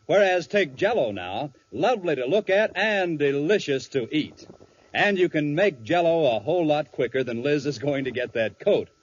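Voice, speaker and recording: A man's voice: on the high side, lively intonation, moderate pace. A man announcing a 1950s radio advertisement with polished, enthusiastic salesmanship. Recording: muffled old radio recording with hiss.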